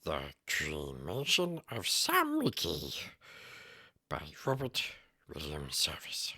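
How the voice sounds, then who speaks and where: Casting: male actor as wretched little fantasy creature, twitchy and whining. Harsh, forceful strained rasp, erratic swoops, slow dramatic recitation, close dry sound. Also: low voice, huge pitch swings, no echo, exaggerated voice. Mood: eerie storytelling. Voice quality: raspy, croaky, strained throat. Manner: talks to self in plural, erratic swoops. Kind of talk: dramatic poem recitation in character.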